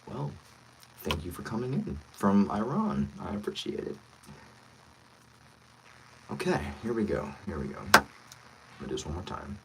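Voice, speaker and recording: A man's voice: on the low side, huge pitch swings, moderate pace, long pauses, heavy background noise. A man chatting casually and friendly with viewers as a voice actor on a livestream, thinking aloud as he goes.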